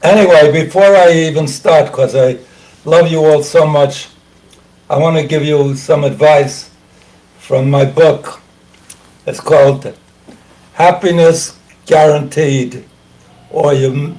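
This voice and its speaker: An older man hosting a talk show, speaking slowly and haltingly, clearing his throat often and losing his voice. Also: fairly high pitch, fairly even intonation, darkish tone, recorded from a distance, poor audio. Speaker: host. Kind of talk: casual talk show monologue.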